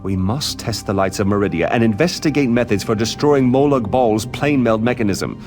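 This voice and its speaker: An actor playing an eager scholarly mage in a video game, with a formal, emphatic and theatrical manner. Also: male voice, medium pitch, normal speed, darkish tone, soft background music, close to an everyday voice. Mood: resolute. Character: eager scholarly male mage leading a war campaign. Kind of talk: dramatic video game acting.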